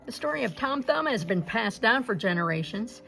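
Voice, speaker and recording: A woman reading a children's fairy tale aloud in a gentle storybook manner. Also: on the high side, lively intonation, moderate pace, vocal fry, soft background music, rough recording.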